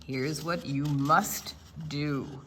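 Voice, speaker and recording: Woman voicing a slow, solemn old-wise barn owl. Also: deep voice, steady tone, fairly bright tone, slight background noise, voice pushed down, heavily acted. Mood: instructive, grave. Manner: slow, measured, weighty pronouncements.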